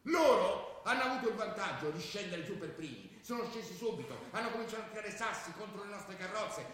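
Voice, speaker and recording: A man's voice: high voice, lively intonation, brisk, fairly bright tone. An actor playing a serious football ultra who chronicles his group's battle in colloquial radio-drama narration. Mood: tense. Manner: storytelling, colloquial. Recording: distant, very echoey, noisy.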